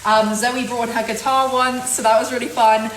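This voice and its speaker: A woman's voice: on the high side, level tone, moderate pace, echoey room, slight background noise, rough recording. A teenage actress with a mostly British accent that sometimes slips American, chatting casually as she answers fan questions at home. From born in New York, raised in London. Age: teens. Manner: chatty, repeats "really, really", light giggles. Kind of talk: casual vlog Q&A. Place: her home kitchen, indoors.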